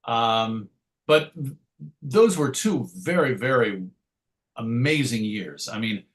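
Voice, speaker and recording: A man's voice: mid-range voice, animated, slow. A middle-aged man giving a casual interview, telling anecdotes in a chatty, wry storytelling way. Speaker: interview guest, comics writer and editor.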